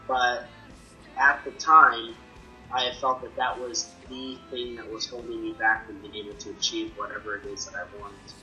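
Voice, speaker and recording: Stuttering young man, very bright voice. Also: medium pitch, poor audio. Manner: stutters, with repeated sounds and restarts. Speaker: person sharing his experience. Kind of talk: personal testimony to camera.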